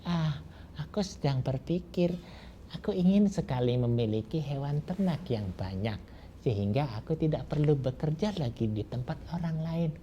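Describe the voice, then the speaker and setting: A man's voice: fairly high pitch, moderate pace, mellow, lo-fi sound, slight background noise, lightly acted. A man voicing a poor young shepherd who speaks in raised, eager exclamations. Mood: wistful.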